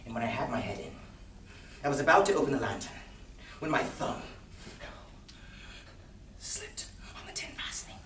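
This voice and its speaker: A man's voice: medium pitch, big pitch swings, normal speed, pauses often, voice pushed up. Wildly swinging, nervous madman, echoey room. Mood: gleeful, agitated. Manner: theatrical, swinging from hushed whispers to manic shouts and chuckles. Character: nervous, gleeful madman confessing to murder. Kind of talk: dramatic solo stage recitation of a gothic story. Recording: roomy, distant stage recording with noise.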